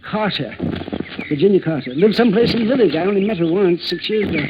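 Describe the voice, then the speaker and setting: Weary, hesitant moneyed gentleman, high and animated, noisy vintage radio drama recording. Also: quick pace, muffled recording. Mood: reluctant. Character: weary rich old man who feeds birds. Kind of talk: 1950s radio drama acting. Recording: noisy, band-limited old radio recording.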